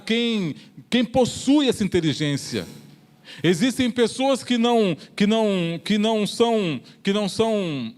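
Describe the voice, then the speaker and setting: Brazilian guy preacher, pretty high voice, explaining stuff in a sermon, stops and repeats himself. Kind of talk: church sermon.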